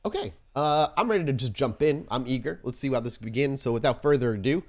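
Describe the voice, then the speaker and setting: A man hosting and narrating a tabletop roleplaying podcast as storyteller, informal in chat and deliberate when setting scenes. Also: medium pitch, expressive, a little mellow. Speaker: podcast host and game storyteller.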